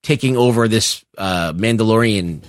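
A man's voice: fairly low pitch, moderate pace, no echo. A co-host, a man, explaining things in a casual, blunt and rambling way with strong opinions. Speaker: podcast co-host. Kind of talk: casual explanatory pop culture chat.

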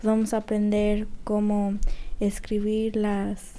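A woman's voice: mid-range voice, completely flat delivery, takes it slowly, mellow. A teenage girl, a student, giving short plain answers in a casual group discussion.

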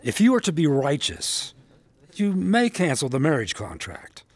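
An actor playing a wise, gentle old rabbi with a measured, wry way of questioning in a biblical audio drama. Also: male voice, fairly high pitch, wildly swooping pitch, no echo, full character voice. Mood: calm counsel.

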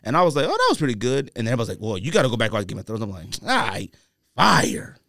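A man hosting a podcast in casual, jokey banter, telling things in an animated, exaggerated way. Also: mid-range voice, very fast, gravelly, no room sound, studio quality. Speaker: podcast host.

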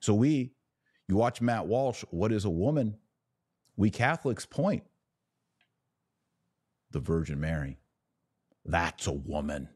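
A man hosting a podcast, giving opinionated religious commentary in an emphatic, declamatory manner with pauses between short phrases. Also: fairly low pitch, lively intonation, darkish tone, slightly rough, no echo.

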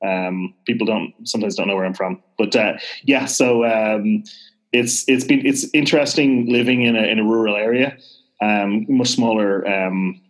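A man's voice: lowish voice, steady tone, normal speed, rough recording. Fields: Sex: man. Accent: mild Irish. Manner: hesitant, lots of fillers. Style: podcast guest. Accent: mild Irish (Dublin). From Ireland. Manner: hesitant, many ums and restarts, chuckles. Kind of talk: casual interview chat.

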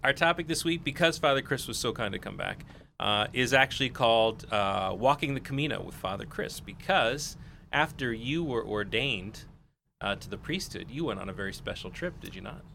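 A man's voice: mid-range voice, lively intonation, normal speed, gravelly, pushed and bright, slight background noise. A man co-hosting a podcast, joking and bantering in casual chat. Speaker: podcast co-host.

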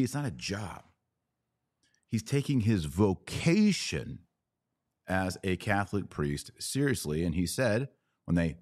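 A man hosting an opinionated commentary show, speaking emphatically and indignantly, with stressed pauses on key words. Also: low voice, a little mellow, no room sound, studio quality. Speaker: podcast host and commentator. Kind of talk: opinionated news commentary to viewers.

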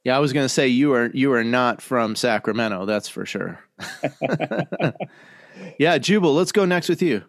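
A man's voice: mid-range voice, animated, measured pace, pauses often, dry recording, studio quality. A man hosting a podcast in a chatty, joking way, wandering into asides as he introduces his guests. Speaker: podcast host and chaplain. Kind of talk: casual podcast chat.

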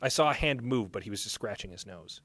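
A man's voice: lowish voice, animated, fast talker, a bit bright, dry recording. A man hosting a podcast, conducting a casual live interview in an informal, joking way.

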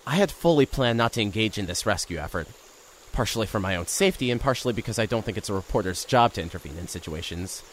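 A man's voice: fairly low pitch, lively intonation, fast talker, a little hiss or hum, natural voice. A man playing a young reporter who narrates an audio drama in a wry, conversational way.

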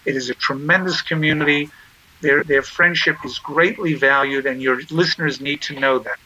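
A man's voice: a little high, steady tone, normal speed, bright, forceful tone, low-quality recording. A man speaking as an interview guest, earnest and emphatic, often restarting his phrases. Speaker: interview guest, nonprofit chairman.

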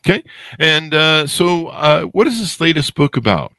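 A man's voice: on the high side, big pitch swings, normal speed, bright, forceful tone, no echo, clean studio sound. A man hosting a podcast in a casual, jokey, rambling way with a playful, self-deprecating manner. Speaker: podcast host.

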